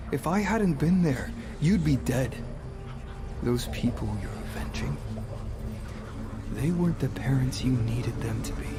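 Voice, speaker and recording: An actor voicing a grim, protective male demon hunter in a dramatic motion comic, brooding and solemn. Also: medium pitch. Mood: stern warning. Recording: music behind the voice.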